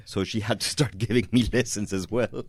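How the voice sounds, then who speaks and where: A man's voice: on the low side, fairly even intonation, normal speed, husky, no room sound, studio quality. A man from Venezuela, a concert pianist, answering interview questions in a hesitant way, with many restarts and light chuckles.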